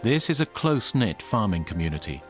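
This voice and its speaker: Low-voiced male documentary narrator. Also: big pitch swings, a little fast, soft background music.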